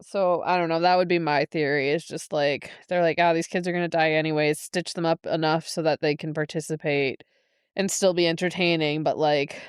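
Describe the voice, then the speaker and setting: A woman's voice: low voice, fairly even intonation, fairly quick, smooth, dry recording, studio quality. A woman co-hosting a podcast, talking through a book in a casual, informal style. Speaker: podcast co-host.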